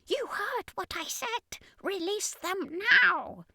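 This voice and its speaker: A woman voicing a male octopus-like alien commander in a slow, drawn-out, imperious way, in a story. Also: very high voice, animated, gravelly, bright, forceful tone, no room sound, clean studio sound, voice pushed up, heavily acted. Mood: commanding.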